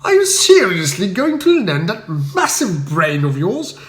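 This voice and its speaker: An excitable young English gentleman from a comic storybook, performed by a male reader. He protests in florid, exclamatory bursts at a raised pitch. The sound is roomy. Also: measured pace, heavily acted. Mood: indignant.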